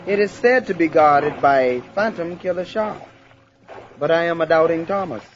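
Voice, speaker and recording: An actor playing a proud, friendly island diver with formal phrasing on a 1970s adventure record. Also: male voice, a little high, normal speed, slight background noise, natural voice. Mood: friendly, inviting. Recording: muffled old record with faint music.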